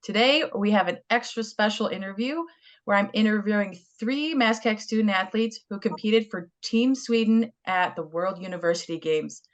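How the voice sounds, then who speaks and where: A woman's voice: mid-range voice, normal speed. A woman hosting a college sports interview, asking questions in an upbeat, friendly way. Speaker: interviewer host.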